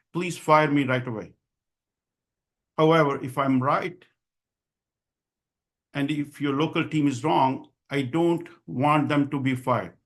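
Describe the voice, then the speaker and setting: A man speaking as a physician and association leader, delivering a formal advocacy speech in an earnest, insistent, emphatic way. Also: on the high side. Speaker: physician and association president giving a public address.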